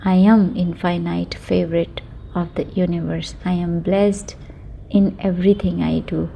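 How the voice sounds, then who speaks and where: Slow, mellow woman guiding sleep meditation. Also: fairly low pitch, little pitch movement, creaky, slight background noise. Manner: soothing, unhurried, short phrases with long pauses. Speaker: meditation guide. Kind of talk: guided sleep meditation with affirmations.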